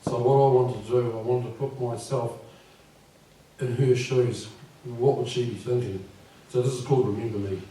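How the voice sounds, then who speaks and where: A man in his fifties with a croaky voice, reading his poems at a live event and speaking gently and unhurriedly. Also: medium pitch, steady tone, moderate pace, slight background noise. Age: 50s. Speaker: poet. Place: live venue with audience. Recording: echoey room from far off.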